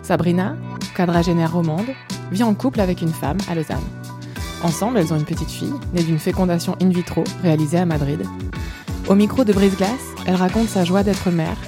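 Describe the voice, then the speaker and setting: A woman hosting a podcast who reads the narration and asks interview questions in a measured, calm way. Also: on the low side, music in the background.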